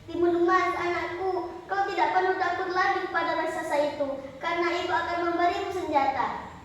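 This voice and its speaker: A woman's voice: very high-pitched, fairly even intonation, fairly quick. A female storyteller voicing a loving, anxious village mother in a folktale, tender and pleading. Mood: reassuring. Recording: echoey hall, distant mic, faint noise.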